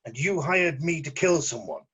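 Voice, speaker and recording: Guy doing a suspicious assassin, late 30s, deadpan, lightish husky voice, lo-fi drama read. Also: a little high, normal speed, exaggerated voice. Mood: puzzled. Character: cautious businesslike male assassin in his late thirties, suspicious. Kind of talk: amateur script table read. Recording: dull lo-fi voice recording.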